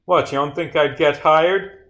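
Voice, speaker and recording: A man's voice: on the high side, fairly even intonation, measured pace, fairly bright tone, voice pushed up. A man voicing a cheerful, clueless guard in a visual novel, breezy and bouncy.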